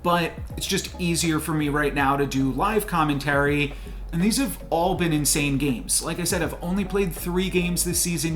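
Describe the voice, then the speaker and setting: A young man hosting a gaming channel, talking off the cuff in a chatty, rambling way with fillers and restarts. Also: on the high side. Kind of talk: off-the-cuff game commentary outro. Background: faint background music.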